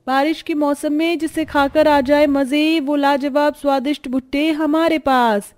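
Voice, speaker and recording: A woman's voice: sits high, steady tone, unhurried, a little mellow, faint music behind, pitched above the performer's own voice. A woman voicing a cheerful female street vendor in a cartoon, calling out to customers in a punchy, inviting way. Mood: cheerful hawking. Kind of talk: cartoon street hawker calls.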